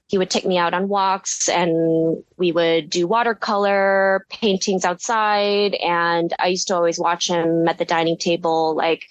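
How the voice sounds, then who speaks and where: A woman's voice: on the low side, no room sound. A woman in her thirties, a ceramicist telling her story in a casual interview, rambling with lots of fillers and frequent giggles. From United States. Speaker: guest ceramicist.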